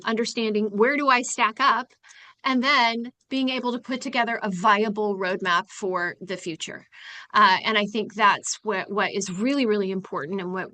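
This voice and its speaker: A woman's voice: medium pitch, sharp tone. A woman presenting in a business webinar, stressing and repeating her key points. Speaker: webinar presenter, company founder.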